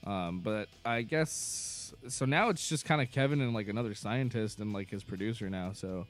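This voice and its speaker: A man's voice: fairly low pitch, moderate pace, faint music behind. A man co-hosting a podcast in casual chat, swearing and laughing along with his friend. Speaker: podcast co-host.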